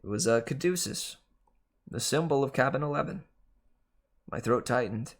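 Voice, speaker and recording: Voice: medium pitch, lively intonation, moderate pace. A reader narrating a children's novel aloud in a storybook style. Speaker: audiobook reader.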